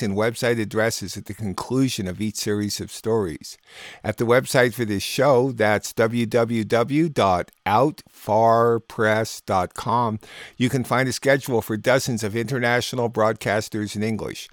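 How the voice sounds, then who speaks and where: A man's voice: medium pitch, no room sound, clean studio sound. An American man reading a scripted news review as the host of a radio program. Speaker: radio show host and producer.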